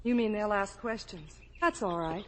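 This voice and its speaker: A woman's voice: medium pitch, moderate pace, a bit bright, lightly acted. An actress playing a sweet, spirited young American woman in a 1950s radio drama, polite and earnest. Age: young adult. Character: sweet, spirited young woman visiting old family friends.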